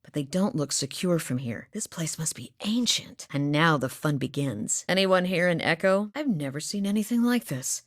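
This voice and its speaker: A woman playing a wry, steady huntress from a cold northern village in a video game, speaking with dry humor. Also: low voice, moderate pace, hardly any pauses, no room sound. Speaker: video game companion character. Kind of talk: game voice lines.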